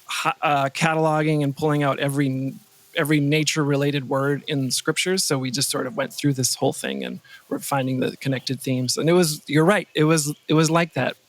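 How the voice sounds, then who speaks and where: A man's voice: a little high, steady tone, brisk, dry recording. An American man talking casually as a podcast guest, rambling and playfully breaking off his own thoughts. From Wisconsin, United States. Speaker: podcast guest, composer and sound designer. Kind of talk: casual interview conversation.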